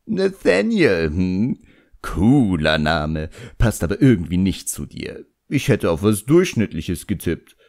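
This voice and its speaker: A man's voice: deep voice, very animated, takes it slowly, a little mellow, full character voice. A man voicing a cocky ancient djinn in an audiobook, sly and sarcastic, with a smug drawl. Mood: mocking.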